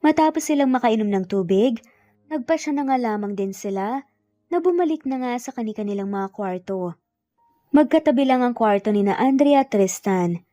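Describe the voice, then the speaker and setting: A woman's voice: a little high, lively intonation, moderate pace, mellow, no room sound. A woman with a Filipino accent reading a scripted romance story as narrator. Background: background music.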